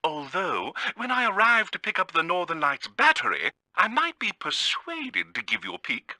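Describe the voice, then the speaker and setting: An actor playing a pompous, theatrical villainous bird genius in a video game, speaking with a British accent. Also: male voice, sits high, wildly swooping pitch, normal speed, pushed and bright, no room sound, exaggerated voice. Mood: indignant.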